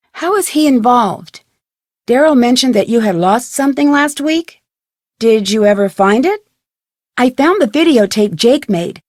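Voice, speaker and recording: A young woman playing a plucky, inquisitive amateur detective in a video game, speaking earnestly with clear, expressive delivery. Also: on the high side, dry recording, studio quality.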